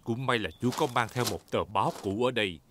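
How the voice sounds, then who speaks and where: A man's voice: mid-range voice, fairly quick, fairly bright tone, slight background noise, natural voice. A man voicing a jolly, helpful father pig in a children's cartoon dub, with a southern Vietnamese accent. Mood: good-humoured. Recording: music behind the voice.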